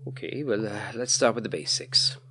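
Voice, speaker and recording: Man acting a calm, mysterious teacher, low-pitched. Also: noisy background, close to an everyday voice. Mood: patient explaining. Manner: soothing, measured, kindly explaining. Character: calm, mysterious male history teacher, gently patronising.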